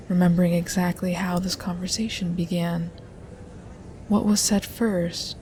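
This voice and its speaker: Female hypnotist for ASMR roleplay. Slow, hushed, coaxing delivery, with faint noise on the recording. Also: on the low side. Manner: hushed, breathy half-whisper, coaxing with soft tag questions. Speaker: hypnotist in a collaborative audio roleplay.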